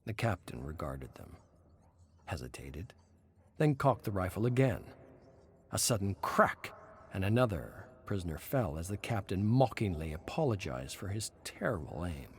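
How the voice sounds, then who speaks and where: Low-pitched man narrating dark stories. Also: big pitch swings, normal speed, a little hiss or hum. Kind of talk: dramatic scripted horror story narration.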